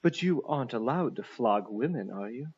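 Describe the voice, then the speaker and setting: Man reading as a defiant, sardonic captive woman, husky. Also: medium pitch, expressive, normal speed, darkish tone, lo-fi sound. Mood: challenging. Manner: dry, graciously sarcastic. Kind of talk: audiobook character voice.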